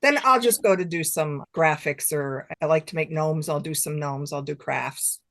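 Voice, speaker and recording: A woman's voice: low-pitched, normal speed, a bit bright, dry recording, clean studio sound. A middle-aged woman chatting casually as an interview guest, with a self-deprecating manner. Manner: self-deprecating, chuckles between remarks. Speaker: guest author.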